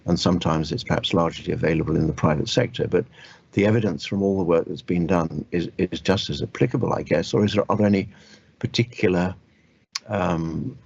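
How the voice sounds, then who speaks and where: A man hosting a health interview, speaking in a measured, tentative way with long roundabout phrasing. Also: sits low, vocal fry, darkish tone, breathy edge, dull audio. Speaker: radio health show host, doctor. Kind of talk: interview questioning.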